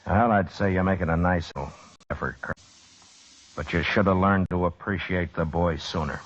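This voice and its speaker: An actor playing a stern, weary marshal in an old-time radio western, terse, grave and plain-spoken. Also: male voice, low voice, steady tone, normal speed. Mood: grave, regretful. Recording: dull, noisy old radio broadcast recording.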